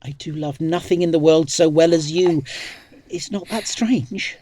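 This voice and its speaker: A man playing an ardent, witty soldier in love, earnest and husky, in a Shakespearean radio play. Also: on the high side, unhurried, warm tone. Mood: tender earnest. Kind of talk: Shakespearean radio drama acting.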